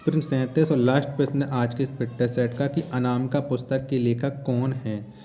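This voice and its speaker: Mellow-voiced male exam coaching teacher. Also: medium pitch, steady tone, moderate pace. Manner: repetitive, asks then repeats answers. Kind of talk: quiz lesson, question then answer.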